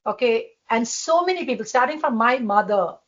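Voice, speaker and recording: A woman with a loud voice, talking as a podcast guest in an emphatic way and laughing often. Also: a little high, animated, moderate pace, highs cut off. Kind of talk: casual conversation.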